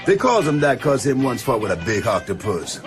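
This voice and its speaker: A man plays a loyal, easygoing island fisherman and sidekick in a radio drama. He has a Caribbean accent and a folksy, deferential way of talking, with very animated pitch and music playing behind him. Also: a little fast, lightly acted. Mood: cheerful.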